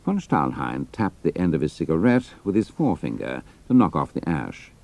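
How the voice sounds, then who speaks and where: A man's voice: extremely low pitch, sing-song, moderate pace, gravelly, a little mellow. A man giving a dramatic scripted narration of an adventure story.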